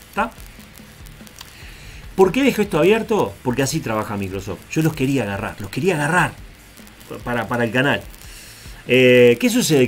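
A man's voice: medium pitch, a little hiss or hum. A man from Argentina, raised in Uruguay, with a Rioplatense accent, casually talking through a screen-share tutorial with frequent asides and tag questions. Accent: Rioplatense Spanish. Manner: chatty, digressive, checks in with "¿tá?". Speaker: tech video host.

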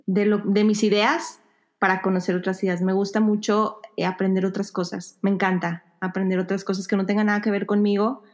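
A woman podcast guest with a medium-pitched voice answers casually from home over a video call. She speaks in short, halting pieces, feeling for the right word and often repeating herself for emphasis. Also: little pitch movement. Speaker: podcast guest, yoga teacher. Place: home room with bare wall, indoors.

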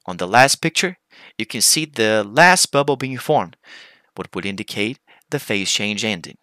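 A man giving a formal, scripted conference presentation as a researcher, with deliberate phrasing. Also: on the low side, animated, pushed and bright, no room sound.

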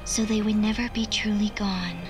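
A woman voicing a calm, cryptic AI girl. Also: mid-range voice, natural voice. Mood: detached. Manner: measured, precise, detached. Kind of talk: video game cutscene dialogue. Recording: game audio with music underneath.

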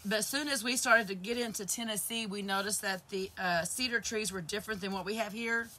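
A woman in her fifties with a hoarse voice, chatting to viewers as she works through a live craft project in her craft room. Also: mid-range voice, fairly quick, sharp tone. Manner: chatty, greets viewers while working with her hands. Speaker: craft channel host. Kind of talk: live crafting stream chat. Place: indoor craft room with shelves. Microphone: camera facing her across the table.

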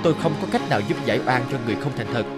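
A man voicing a stern, principled lawyer in a cartoon, speaking in a formal, firm and measured way. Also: mid-range voice, expressive, close to an everyday voice. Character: stern, principled male lawyer refusing dishonest clients. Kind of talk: dubbed cartoon dialogue. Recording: music under the voice.

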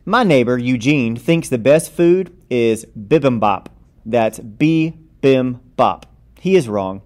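A man with a mid-pitched voice reads a picture book aloud. He is a playful storyteller who acts out the story with plenty of expression. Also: animated, takes it slowly, a little mellow.

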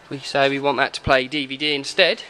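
A man's voice: medium pitch, steady tone, quick pace, pushed and bright, a little hiss or hum, low-quality recording. A man giving an informal, matter-of-fact product walkthrough as an installer, talking off the cuff. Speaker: car audio installer presenting a demo. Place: in and around a parked car.

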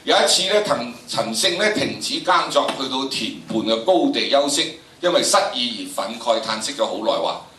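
A man's voice: medium pitch, lively intonation, moderate pace, hardly any pauses, bright, forceful tone. An older man with a Hong Kong Cantonese accent lecturing students emphatically, drawing out phrases and posing rhetorical questions. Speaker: teacher of history and drama. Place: large indoor lecture theatre. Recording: echoey lecture hall, from a distance.

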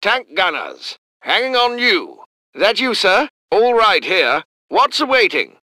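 A man's voice: high voice, wildly swooping pitch, takes it slowly, bright, forceful tone, no echo, full character voice. A man voicing a clipped, formal British tank gunner, delivering game unit lines. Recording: dry close studio recording.

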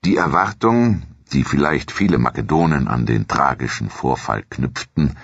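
A man reading a scripted historical documentary in a measured, dramatic storytelling manner. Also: rumbling low, breathy edge, no echo, muffled recording. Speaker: narrator.

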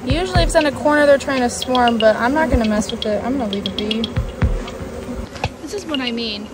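A woman's voice: a little high, brisk, music in the background. A middle-aged woman chatting casually and thinking aloud as she works outdoors in a bee yard, informal and exclamatory. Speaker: beekeeping vlogger. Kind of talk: casual chat while working.

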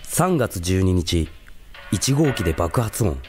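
A man's voice: sits low, big pitch swings, slow. A man reading scripted narration for a documentary-style drama. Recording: voice under prominent music.

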